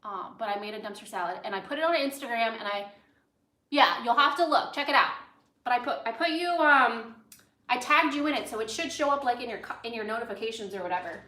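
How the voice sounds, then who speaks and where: A woman's voice: fairly high pitch, fairly quick, bright, forceful tone. An American woman chatting casually on a cooking livestream, rambling and drifting into asides as she works. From United States, grew up in Los Angeles. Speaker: host of a cooking livestream, chef. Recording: roomy, echoey indoor sound.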